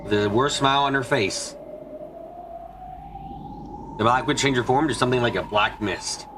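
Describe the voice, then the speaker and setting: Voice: medium pitch, normal speed, noisy background. A streamer narrating a visual novel as a live fan dub, in a dramatic storytelling manner. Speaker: streamer reading the story and all parts.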